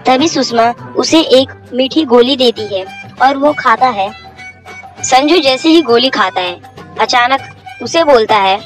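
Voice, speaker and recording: A woman narrates a scripted children's bedtime tale. Her voice sits fairly high, and soft music plays behind it. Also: expressive, a little slow. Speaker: story narrator.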